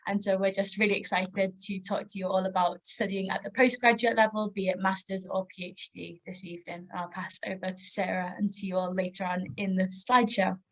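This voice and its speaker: A woman's voice: medium pitch, flat delivery, fairly quick, few pauses, low-quality recording. A young woman with a toned-down Liverpool accent, introducing herself in an upbeat, friendly way on a webinar. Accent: softened Liverpool. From Liverpool, England. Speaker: study-abroad advisor, webinar presenter.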